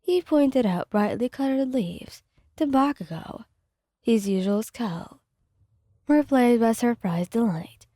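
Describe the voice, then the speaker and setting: A woman reading scripted fan fiction as soft ASMR narration, with a gentle, soothing delivery. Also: on the high side, lively intonation, slow pace, dry recording, clean studio sound.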